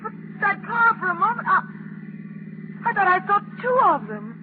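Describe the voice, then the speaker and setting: Nervous, doubting heroine, very high and lively voice, hesitant delivery, 1940s radio mystery with noisy sound. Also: female voice, normal speed, narrow, tinny audio. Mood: frightened. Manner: hesitant, fretful. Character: anxious, doubting young woman, devoted but easily rattled. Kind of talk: 1940s radio drama acting.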